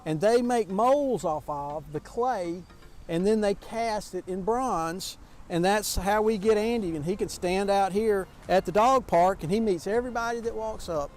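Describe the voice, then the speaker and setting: A man with a fairly high voice chats outdoors like a friendly tour guide, speaking plainly to kids. He stumbles and restarts often but stays easygoing. Soft music runs faintly underneath. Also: a little slow. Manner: folksy, chatty, many restarts and fillers. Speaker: sculptor. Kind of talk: outdoor walking tour talk to children. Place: outdoors by public sculptures.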